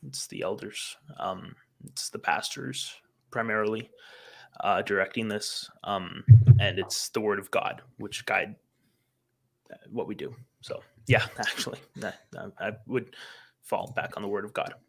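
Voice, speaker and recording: Hesitant young man, bright voice. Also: fairly low pitch, measured pace, gravelly, slightly rough. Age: young adult. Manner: hesitant, many fillers and restarts. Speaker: podcast guest. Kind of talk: casual discussion.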